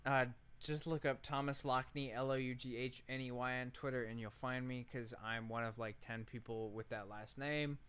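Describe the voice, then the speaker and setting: A man with a clean, smooth voice that has a bright edge. He chats as a podcast co-host, giving opinions in informal, slangy language. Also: mid-range voice, fairly even intonation, normal speed, few pauses. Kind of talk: casual podcast chat.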